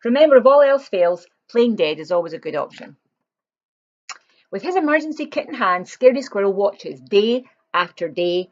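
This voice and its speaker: A woman's voice: mid-range voice, very animated, normal speed, dull audio. A woman reading a children's picture book aloud, expressively, with chatty explanatory asides to young listeners.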